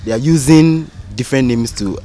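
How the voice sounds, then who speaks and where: A man's voice: mid-range voice, brisk, warm tone. A man with a Ghanaian accent, a guest in a casual conversation, hesitant and thoughtful as he searches for words.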